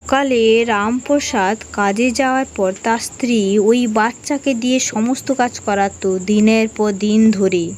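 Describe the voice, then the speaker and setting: A woman's voice: a little high, a little slow, a little mellow, a little hiss or hum. A woman narrating a scripted horror cartoon story as its storyteller. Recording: music under the narration.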